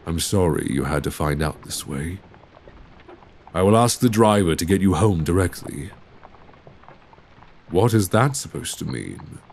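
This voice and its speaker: A man's voice: a little mellow, heavily acted. A man playing a tormented, courtly young Victorian vampire in an ASMR roleplay, speaking tenderly and formally, close to a binaural microphone. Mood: wary, apologetic. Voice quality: very deep, breathy, husky. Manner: formal, old-fashioned, measured phrasing. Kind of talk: ASMR roleplay audio drama acting.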